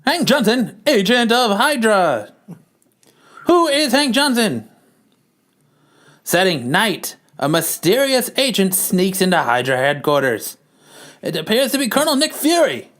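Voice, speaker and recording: Guy doing an old-timey comic book narrator, pitched way up, excitable and theatrical. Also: unhurried, full character voice. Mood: dramatic, excited. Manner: theatrical, emphatic.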